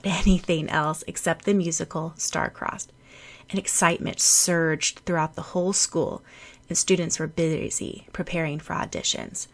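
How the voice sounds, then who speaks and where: A woman narrator reading a fan fiction audiobook as scripted storytelling. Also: fairly low pitch.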